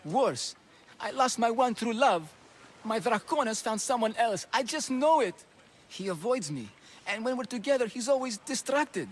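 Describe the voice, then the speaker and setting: A high-voiced, lovesick, melodramatic athlete. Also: male voice, expressive, normal speed, a little hiss or hum. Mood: distraught, lovesick. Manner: theatrical, gushing, dramatic. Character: lovesick melodramatic male ancient Greek athlete, fretful and smitten. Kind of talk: video game cutscene acting.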